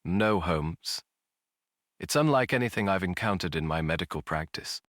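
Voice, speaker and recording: A man's voice: low voice, expressive, normal speed, fairly bright tone, no echo, clean studio sound. A man reading the part of a thoughtful, plain-spoken Victorian doctor in a storybook. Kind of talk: storybook narration dialogue.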